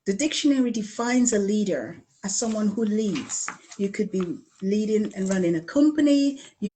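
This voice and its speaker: A middle-aged woman from Guyana who has lived in the UK, presenting a coaching talk in deliberate phrases, repeating words for emphasis. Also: medium pitch, lively intonation, warm tone, rough recording. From Guyana, later living in the UK. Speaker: leadership coach presenting a challenge. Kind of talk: live online coaching talk from slides.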